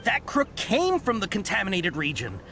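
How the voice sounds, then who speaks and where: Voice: mid-range voice, normal speed, full character voice. An actor playing a nervous, fawning caravan merchant in a video game, who hesitates a lot. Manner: hesitant, full of "uh"s, flattering. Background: game music.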